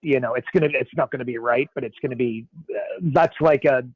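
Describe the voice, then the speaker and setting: A man's voice: mid-range voice, fast, husky, no room sound. Nasal-voiced chummy man on a call. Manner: loose, chummy and rambling, full of fillers. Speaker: host. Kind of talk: live Q&A call hosting. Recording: phone-like narrow line.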